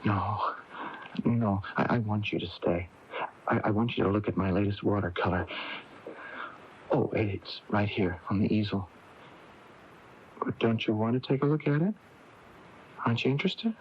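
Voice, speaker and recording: An actor playing a strange, withdrawn, lovesick young man in a radio mystery drama, with a halting, stammering delivery and unsettling intensity. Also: on the low side, expressive. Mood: lovesick, insistent. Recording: dull, noisy off-air radio tape.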